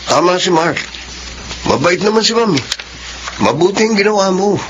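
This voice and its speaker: A man's voice: high voice, lively intonation, moderate pace. An actor playing an agreeable, supportive male office co-worker with a Filipino accent in a radio drama. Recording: dull, noisy radio recording.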